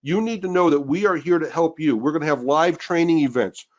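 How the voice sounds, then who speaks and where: Hoarse man hyping a sales webinar. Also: fairly high pitch, brisk. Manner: pushy, rallying, calls out names. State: losing his voice. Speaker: webinar co-host. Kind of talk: energetic sales pitch. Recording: dull lo-fi webinar audio.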